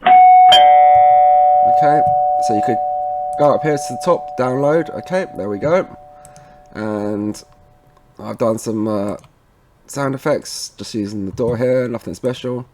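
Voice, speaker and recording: A man's voice: medium pitch, slow, pauses often, warm tone, a little hiss or hum. A man presenting a casual step-by-step tech tutorial in an informal, chatty way, often checking in with the listener as he goes. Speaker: presenter of a product tutorial series.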